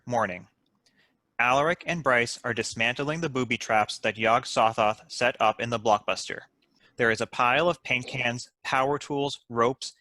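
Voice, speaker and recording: Bright-voiced man reading stage directions. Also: mid-range voice, no echo. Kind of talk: scripted narration.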